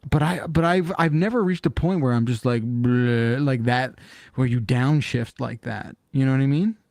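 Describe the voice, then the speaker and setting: A man hosting a podcast in casual, joking chat, animated and often incredulous. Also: mid-range voice, normal speed, vocal fry, no echo, clean studio sound. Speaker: podcast host.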